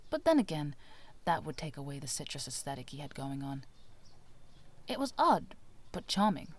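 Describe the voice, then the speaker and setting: A woman narrating fiction as an amateur audiobook reader, with an expressive, theatrical delivery. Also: low-pitched, moderate pace. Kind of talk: dramatic scripted narration of fan fiction.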